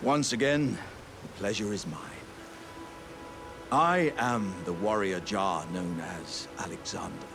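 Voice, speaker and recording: A man's voice: on the low side, slow pace, gravelly, music in the background. A man playing a jovial, polite, boastful living warrior jar in a video game, with hearty, courtly, old-fashioned phrasing. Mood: grateful. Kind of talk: video game character dialogue.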